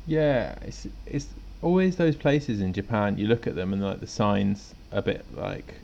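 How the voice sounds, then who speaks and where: A man's voice: lowish voice, a little slow, creaky, darkish tone, highs cut off, slight background noise. A man co-hosting a podcast in a laid-back, rambling casual chat, often chuckling. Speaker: podcast co-host.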